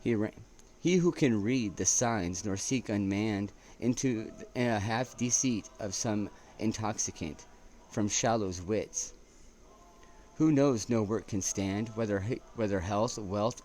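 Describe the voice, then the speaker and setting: A man reading poetry aloud as an amateur, in a halting way with stumbles and restarts. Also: fairly low pitch, a little mellow. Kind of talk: poetry recitation with casual asides. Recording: noticeable background noise.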